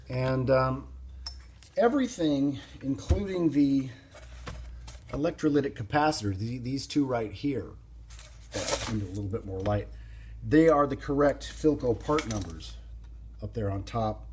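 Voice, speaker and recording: A man giving an informal, unscripted hands-on demonstration as a hobbyist, explaining things in a plain-spoken, halting way. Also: medium pitch, darkish tone, slight background noise. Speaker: vintage radio hobbyist presenting a demonstration.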